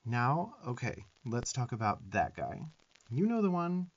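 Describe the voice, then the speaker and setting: A sarcastic little demon twink, played by a man, bosses the listener around with affection in an ASMR roleplay. His mid-pitched young voice swings up and down with sassy emphasis and blunt swearing. The sound is a little dull. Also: huge pitch swings, unhurried, heavily acted. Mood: scornful, protective. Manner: sassy, emphatic, profane tough-love delivery.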